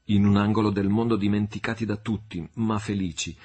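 A man reading aloud, voicing a passionate young noblewoman in an audiobook, with a husky voice and impassioned, climbing delivery. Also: fairly low pitch, level tone, fairly quick, darkish tone, close to an everyday voice.

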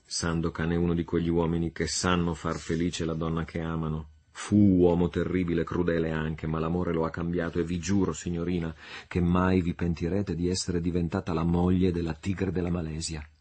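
A man reading aloud, voicing a loyal, bold male adventurer in an audiobook, speaking in a steady, solemn, husky voice. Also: low voice, moderate pace.

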